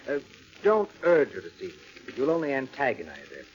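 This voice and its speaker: An actor playing a self-assured, probing psychiatrist in a 1940s radio drama, deliberate and authoritative. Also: male voice, mid-range voice, huge pitch swings, a little mellow, lo-fi sound. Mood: confident.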